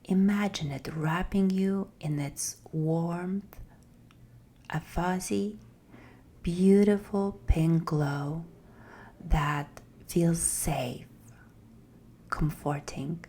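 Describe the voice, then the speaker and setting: A woman guiding a meditation in a soft, breathy, half-whispered voice, gentle and soothing with long pauses. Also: fairly low pitch. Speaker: meditation guide. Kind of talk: guided meditation, slow scripted narration.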